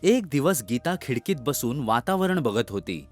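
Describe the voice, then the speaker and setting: A man narrating a scripted children's story as an expressive storyteller. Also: medium pitch, moderate pace, faint music behind.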